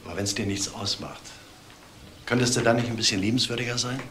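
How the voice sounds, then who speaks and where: An actor playing an apologetic, well-meaning brother-in-law, tactful and hesitant, in a crime radio drama. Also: male voice, medium pitch, fairly even intonation, fast talker, fairly bright tone, slight background noise, lightly acted. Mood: earnest, reproachful.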